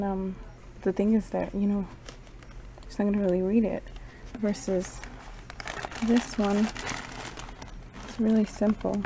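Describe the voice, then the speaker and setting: A woman's voice: mid-range voice, creaky, warm tone, slight background noise, rough recording. A young woman vlogging casually while shopping, thinking aloud and hedging as she goes, filmed on a handheld camera. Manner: chatty, thinking aloud, hedging with "I don't know". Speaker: travel vlogger. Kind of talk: casual vlog narration. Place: shops and mall, indoors. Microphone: handheld camera at arm's length.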